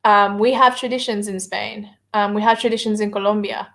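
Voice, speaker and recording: A woman's voice: medium pitch, steady tone, normal speed, fairly bright tone. A woman with an Australian accent talks casually on a livestream, hesitating often with filler words as she answers viewer comments. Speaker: livestream guest reading viewer chat.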